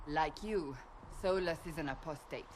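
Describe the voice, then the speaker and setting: An actress playing a blunt, impatient warrior seeker in a fantasy video game. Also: female voice, deep voice, normal speed, background noise, natural voice. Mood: stern. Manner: blunt, forceful.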